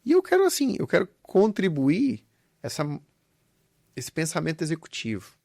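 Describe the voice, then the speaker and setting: A man's voice: fairly high pitch, wildly swooping pitch, normal speed, vocal fry, a little mellow, slightly rough, no echo, clean studio sound. A man, a business founder, talking earnestly and reflectively as an interview guest, often restarting his phrases. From southern Brazil. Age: adult with young children. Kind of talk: casual interview conversation.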